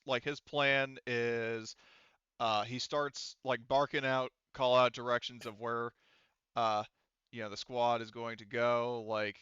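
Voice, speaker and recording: A man running a tabletop roleplaying game, narrating casually in a halting, rambling, sweary way on a close mic. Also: mid-range voice, bright, forceful tone, smooth, no room sound, dull audio. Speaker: game master.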